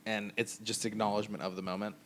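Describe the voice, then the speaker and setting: A man's voice: fairly low pitch, level tone, fast, fairly bright tone. A man who plays in a band, chatting casually on a podcast in a hesitant, joking way. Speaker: band musician. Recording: faint background noise.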